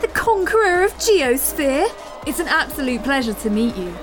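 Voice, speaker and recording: A woman's voice: sits high, big pitch swings, normal speed. An actress playing a decadent female corporate president who gushes and drawls theatrically, with music underneath. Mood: gushing. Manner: theatrical, drawling, calls everyone darling. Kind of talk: audio drama acting.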